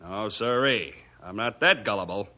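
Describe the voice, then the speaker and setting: A man's voice: fairly low pitch, lively intonation, normal speed, sharp tone. A man playing a stubborn, scornful small-town mayor in a radio drama, curt and bossy.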